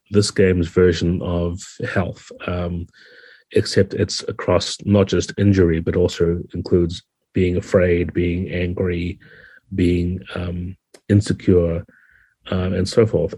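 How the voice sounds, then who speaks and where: Male interview guest: very low, dark voice with some huskiness, thoughtful explainer, dry recording. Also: husky, no echo. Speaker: podcast guest, game designer.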